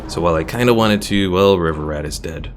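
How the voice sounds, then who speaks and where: A man's voice: deep voice, brisk, soft background music. A man streaming a strategy game, muttering, cursing at it and reading chat aloud. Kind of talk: casual gameplay commentary.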